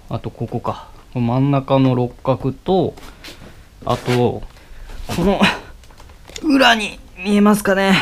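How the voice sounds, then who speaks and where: A young man talking casually as he works on his motorcycle in a cluttered garage, filmed with a head-mounted camera. He talks haltingly with many fillers and mutters to himself mid-task. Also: mid-range voice. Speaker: motovlogger. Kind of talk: casual how-to commentary while working. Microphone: head-mounted action camera.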